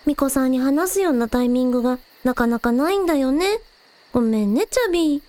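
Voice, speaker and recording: A woman's voice: high voice, expressive, brisk, darkish tone. A woman playing a spirited, caring young village girl who leads her team, casual and earnest, in a radio drama. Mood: apologetic, fond.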